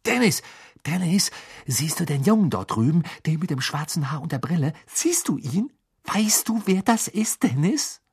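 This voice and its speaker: Guy playing a gushing young schoolboy, high and keen, audiobook voice. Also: moderate pace, gravelly, husky, heavily acted. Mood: eager. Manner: eager, excited.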